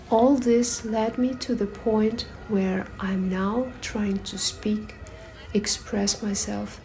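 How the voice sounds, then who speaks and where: Woman reading an essay aloud, unhurried, mellow voice with some vocal fry, measured and reflective. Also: mid-range voice, low-quality recording. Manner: measured, reflective, pausing between phrases. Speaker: reader at a performative reading.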